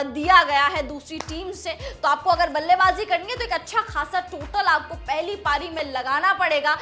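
A young woman hosts a sports preview with a lively, hand-waving delivery. Her voice sits very high and sounds bright. She is picked up on a clip-on mic in a studio, with faint music playing under her. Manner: lively, gestures with her hands while talking. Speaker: cricket video presenter. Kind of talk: energetic sports preview presentation.

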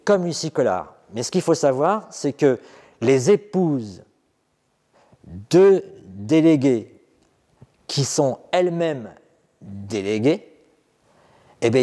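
A middle-aged man speaks unscripted as a guide in an exhibition room, pausing often with fillers and restarting phrases. His voice sits at an ordinary male pitch. A long reverb trails behind him. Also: warm tone. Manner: hesitant, many fillers and restarts. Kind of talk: unscripted explanatory talk.

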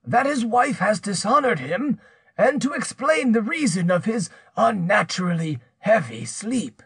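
A man's voice: high voice, very animated, a little slow, vocal fry, fairly bright tone, slightly rough, no echo, studio quality, pitched above the performer's own voice, heavily acted. A man voicing a menacing, vengeful older uncle who blackmails and accuses vehemently in an audiobook story.

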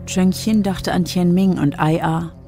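A woman's voice: on the low side, steady tone, unhurried, warm tone, soft background music. A woman narrating a radio drama from a script.

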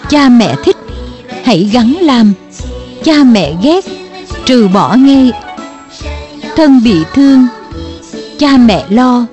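Woman chanting slow verses over music. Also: fairly high pitch, lively intonation, dark tone. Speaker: verse reciter.

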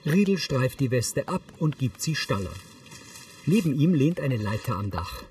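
A man's voice: mid-range voice, big pitch swings, normal speed, a little mellow, distant mic, slight background noise. A man reading scripted audio description, narrating on-screen action in the present tense.

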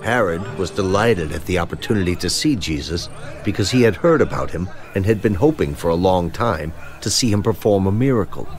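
A man reading scripted scripture narration for a dramatized production, in a measured storytelling manner. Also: low voice, animated. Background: music.

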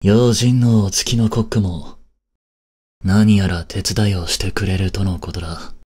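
An actor playing a cold, haughty young prince in an audio drama, with a husky, breathy voice and a curt, imperious manner. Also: male voice, sits low, fairly even intonation, unhurried, darkish tone. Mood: stern, scolding. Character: cold, haughty young prince scolding and fretting over his maid. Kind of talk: Japanese audio drama acting.

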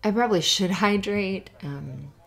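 Woman acting a flustered young cheerleader, creaky voice. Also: medium pitch. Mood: shy, friendly. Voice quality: creaky, often dropping to breathy whispers. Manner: halting, stammering, nervous little laughs. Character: flustered, sweet young cheerleader hiding her upset. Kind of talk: ASMR roleplay, spoken to the listener.

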